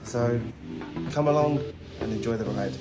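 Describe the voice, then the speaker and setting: A man's voice: mid-range voice, moderate pace. A man talking casually and chattily as a travel vlogger. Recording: clear music behind the voice.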